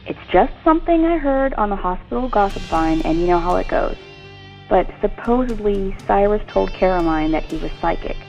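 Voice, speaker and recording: Lady acting a gossipy nurse in a radio soap, mid pitch, confiding, soft music behind. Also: animated, moderate pace, vocal fry, lo-fi sound. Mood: confiding, wry. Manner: confiding, tentative. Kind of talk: audio soap opera acting.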